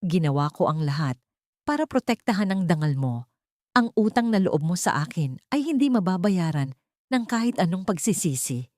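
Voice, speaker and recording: A woman's voice: lowish voice, very animated, normal speed, a little mellow, no echo, studio quality. A woman playing a cold, manipulative older rich mother in a dramatic audio story, speaking sharply and commandingly.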